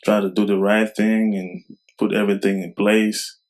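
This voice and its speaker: A young man from Cameroon, raised in Germany, a rapper answering interview questions in a soft, halting way with many fillers. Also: fairly low pitch, flat delivery. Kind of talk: casual interview talk.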